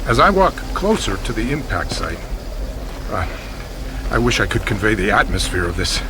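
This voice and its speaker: A man playing an earnest, excitable American radio news reporter in a drama, stammering as he describes the scene urgently. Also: medium pitch, noisy background, lightly acted.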